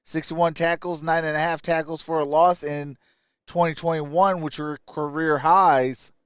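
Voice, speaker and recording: A man's voice: a little high, steady tone, a little slow, clear, resonant tone, dry recording, clean studio sound. A man hosting a live stream, giving casual draft commentary and reading out stats in clipped phrases.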